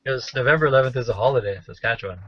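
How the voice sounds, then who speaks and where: A man's voice: mid-range voice, racing. A man chatting casually and wryly as a podcast co-host, telling anecdotes. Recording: dull, lo-fi captured call audio.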